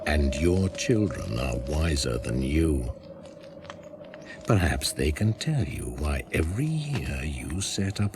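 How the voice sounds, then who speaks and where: A man playing a grave, commanding pagan elder in a radio drama, solemn and measured. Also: a bass voice, background noise, exaggerated voice. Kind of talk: 1980s radio drama acting. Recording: archive radio play with loud music.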